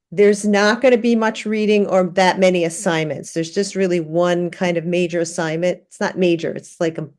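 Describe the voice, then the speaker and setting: Woman teacher opening an online class. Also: medium pitch. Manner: relaxed, many fillers and restarts. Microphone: video call.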